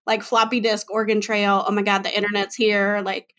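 A woman in her late thirties hosting a casual interview, chatty and giggly, with quick self-mocking asides. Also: mid-range voice, flat delivery, a bit bright. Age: 30s. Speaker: podcast host.

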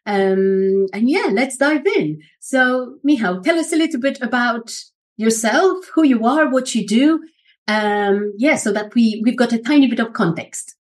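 Encouraging woman host, slightly high voice. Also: expressive, measured pace. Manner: warm and encouraging, fills with "um" and "mm". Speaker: interview host. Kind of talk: interview hosting.

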